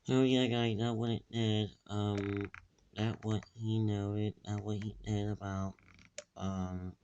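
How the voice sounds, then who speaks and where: A man giving rambling casual commentary in a halting, repetitive way with muddled wording. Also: level tone, a little mellow, slight background noise. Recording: dull, echoey low-fidelity recording.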